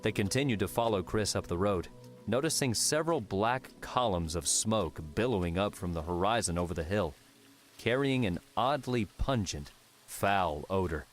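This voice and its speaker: A man's voice: lowish voice, lively intonation, normal speed, soft background music. A man narrating scripted fiction as an audiobook narrator.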